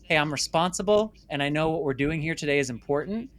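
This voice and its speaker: A man's voice: a little high, fast. A man speaking as an interview guest in a conversational storytelling style, emphatic and repeating phrases for stress. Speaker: interview guest, filmmaker and former stage actor.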